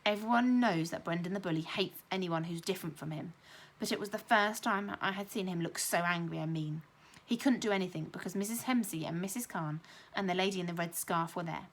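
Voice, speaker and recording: A young woman reads a children's novel aloud. Her teacher's storytelling voice is lively and expressive. She lifts it higher and brighter whenever the young characters talk. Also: fairly quick. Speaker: primary school teacher.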